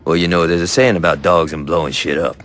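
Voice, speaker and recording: A man's voice: low-pitched, fairly even intonation, quick pace, fairly bright tone. An actor playing a swaggering, sardonic male gangster lieutenant in a video game cutscene. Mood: laid-back. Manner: cocky, streetwise, sardonic.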